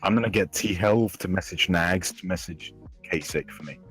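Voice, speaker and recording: A sixteen-year-old male with a deep, husky voice, chatting casually as a podcast host. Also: moderate pace, a bit bright, faint music behind, rough recording. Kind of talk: casual group chat.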